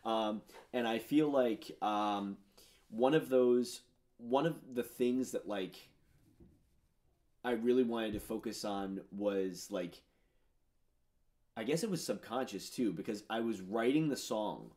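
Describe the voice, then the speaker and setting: Casting note: man, thirties, lowish voice, rambling, filler-heavy musician chatting about his record, home studio. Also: takes it slowly, a little mellow. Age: 30s. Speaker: musician discussing his album. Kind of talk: casual commentary on his own songs. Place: home studio with purple lighting.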